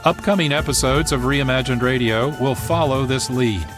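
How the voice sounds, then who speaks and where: Guy hosting a radio show, formal scripted intro, mid pitch, faint music behind. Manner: measured, formal presenting. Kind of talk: scripted narration.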